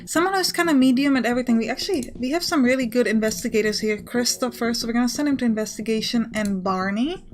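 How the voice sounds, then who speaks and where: High-pitched woman explaining a video game. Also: quick pace, few pauses. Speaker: let's play host. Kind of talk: casual game commentary, explaining as she plays.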